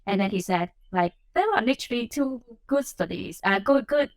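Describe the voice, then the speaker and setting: A woman's voice: medium pitch, animated, moderate pace, dry recording. A woman from Hong Kong, an assistant professor, answering interview questions in a reflective way with many "like" and "um" fillers. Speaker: assistant professor, podcast guest.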